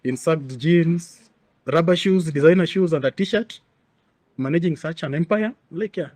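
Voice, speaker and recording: A man's voice: on the high side, measured pace, darkish tone, no echo. A man with a Kenyan accent speaking as an expert interview guest, explaining things in an emphatic, assertive way.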